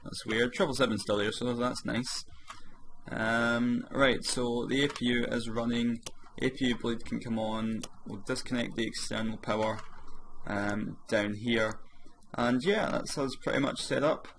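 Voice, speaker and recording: A man, a bit hoarse from a sore throat, chatting casually as he walks through each step of a flight simulator session. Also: medium pitch, steady tone, measured pace, fairly bright tone, slight background noise. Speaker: flight sim streamer. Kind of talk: casual gameplay commentary.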